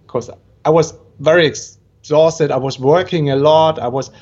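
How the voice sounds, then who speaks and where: A man's voice: on the high side, steady tone, unhurried, a little mellow, dull audio. A man from Germany with a German accent, telling his story as a podcast guest, full of energy and with many ums and restarts. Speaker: guest entrepreneur.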